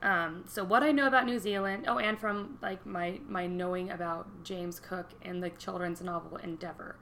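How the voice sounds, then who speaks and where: Young female co-host with a bright voice, chatty and joking, faint hiss behind. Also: fairly low pitch, fairly even intonation, normal speed, gravelly. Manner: chatty, joking, laughs often. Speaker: podcast co-host. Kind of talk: casual chat.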